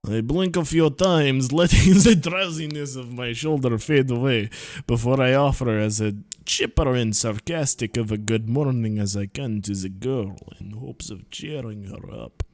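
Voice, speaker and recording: A young man streaming, reading visual novel narration aloud in a laid-back, deadpan way. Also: mid-range voice, measured pace, hardly any pauses, no room sound.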